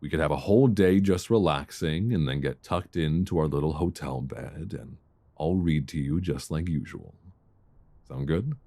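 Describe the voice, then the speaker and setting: A man playing an affectionate, bookish boyfriend in an intimate ASMR roleplay, speaking softly, tenderly and soothingly close to a binaural microphone. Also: very deep voice, gravelly, heavily acted. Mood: tender, wistful. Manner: soft, tender, unhurried, soothing.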